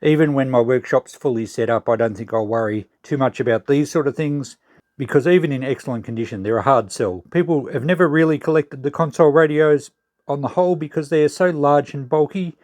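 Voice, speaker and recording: A man's voice: mid-range voice, fairly quick, darkish tone, no room sound, studio quality. An Australian man casually narrating as a vlog host, musing and thinking aloud as he goes. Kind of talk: casual narrated walkthrough of a shed clean-out.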